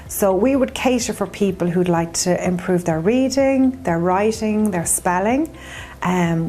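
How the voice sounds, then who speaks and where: Reassuring woman tutor, low voice. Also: darkish tone. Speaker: adult literacy tutor. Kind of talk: interview.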